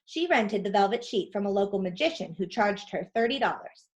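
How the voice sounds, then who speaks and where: A woman reads a children's story aloud as narrator. Her delivery is expressive, and her voice sits at a middle pitch. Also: fairly quick.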